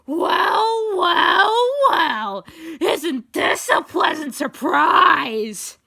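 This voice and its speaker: A woman's voice: very high-pitched, animated, very slow, creaky, pushed and bright, voice pushed up, heavily acted. A woman voicing a cruel, gloating villain in a story, taunting theatrically. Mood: mock delight.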